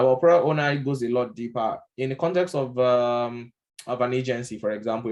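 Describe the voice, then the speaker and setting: A man with a Nigerian accent giving an unscripted lesson, talking conversationally with plenty of fillers and restarts. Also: medium pitch. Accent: Nigerian English. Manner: conversational, many fillers and restarts, occasional chuckles. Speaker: product management instructor. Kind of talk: casual unscripted lecture.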